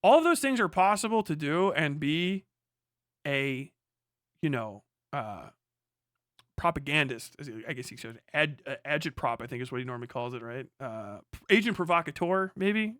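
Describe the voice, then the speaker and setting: Bright-voiced, foul-mouthed male livestreamer. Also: on the high side, lively intonation, normal speed, no echo, clean studio sound. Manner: profane, blunt, slangy. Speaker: livestream host. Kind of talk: casual political livestream commentary, replying to chat.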